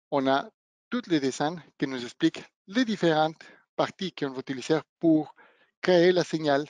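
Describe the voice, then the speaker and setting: A man who is not a native speaker of the language, giving a webinar demonstration of training equipment and pausing often to search for words. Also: on the high side, darkish tone, no echo. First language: not French. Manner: halting, searching for words, non-native phrasing. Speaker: product trainer.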